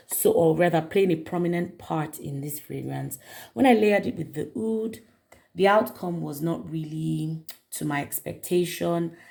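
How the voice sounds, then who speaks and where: A woman hosting her perfume channel, chatting warmly to viewers in a casual review, her voice raspy from a cold. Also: low-pitched. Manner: friendly, chatty, direct to viewers.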